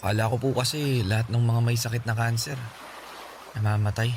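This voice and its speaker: A man's voice: mid-range voice, steady tone, a little fast, noisy background, natural voice. A male actor playing a worried, innocent schoolboy in a radio drama, speaking politely and hesitantly. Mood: anxious, hesitant. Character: worried, innocent schoolboy, older brother of sick child. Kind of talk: Tagalog radio drama acting.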